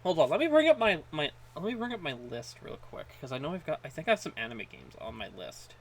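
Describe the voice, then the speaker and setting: A man co-hosting a podcast, chatting casually and haltingly, often repeating words as he goes. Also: on the high side, big pitch swings, a little hiss or hum. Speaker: podcast co-host. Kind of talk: casual chat about gaming.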